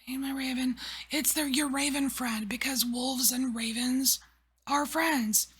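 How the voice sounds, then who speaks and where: A woman giving a whispered tarot reading, rambling softly with many fillers. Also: high voice, fairly even intonation, measured pace. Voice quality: breathy. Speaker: tarot reader.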